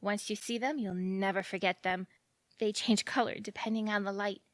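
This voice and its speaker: An actress playing a giddy, lovestruck romantic woman in an audio drama, gushing and dramatic and quick to take offence. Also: mid-range voice, fairly even intonation, no room sound, lightly acted. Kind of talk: audio theatre acting.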